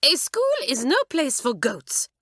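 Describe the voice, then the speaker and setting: A woman's voice: big pitch swings, bright, forceful tone, husky, no room sound, studio quality, heavily acted. A woman narrator voicing a flustered, indignant schoolmistress. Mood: shrill, indignant. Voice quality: shrill. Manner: flustered, indignant, slow emphatic delivery. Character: shrill, agitated French schoolmistress, middle-aged woman.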